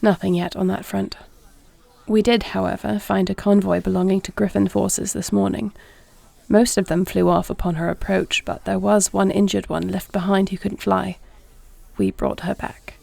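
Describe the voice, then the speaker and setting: Grave, creaky-voiced warrior queen, acted by a woman. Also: mid-range voice, flat delivery, warm tone, dry recording. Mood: grave, measured. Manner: measured, earnest, formal phrasing. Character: duty-bound warrior dragon queen, tender but grave wife. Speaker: voice actor. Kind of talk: intimate audio roleplay acting.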